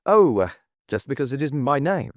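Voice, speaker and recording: A man's voice: medium pitch, sing-song, moderate pace, dry recording, voice pushed up, lightly acted. A man voicing a breezy, wry young adventurer working as a tour guide, with a dry, teasing manner.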